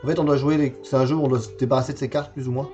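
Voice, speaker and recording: A man's voice: mid-range voice, flat delivery, very fast, mellow, dull audio, soft background music. A man in his forties chatting casually on a livestream while showing games from his collection, hesitant and full of fillers and repeated words. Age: 40s. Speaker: streamer presenting a game collection.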